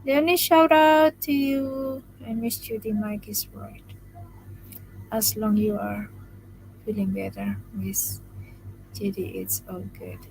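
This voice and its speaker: Slow, soft-spoken woman after jaw surgery. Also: mid-range voice, steady tone, gravelly, slight background noise, poor audio. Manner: speaks softly, restarting phrases, laughing often. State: recovering from jaw surgery, tired. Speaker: live stream host. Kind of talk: casual live stream chat reading comments.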